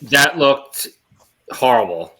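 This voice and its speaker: A man's voice: mid-range voice, lively intonation, slow, sharp tone, slight background noise, poor audio. A man chatting casually as a podcast panelist, with a blunt manner. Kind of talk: casual chat.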